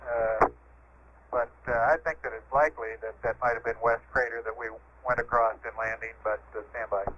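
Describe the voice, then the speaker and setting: A man with a bright, mid-pitched voice talks as an astronaut in a tentative, halting way. He comes over a band-limited 1960s space radio with faint hiss. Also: level tone, dull audio. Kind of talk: space-to-ground radio conversation. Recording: thin, hissy 1960s space radio transmission.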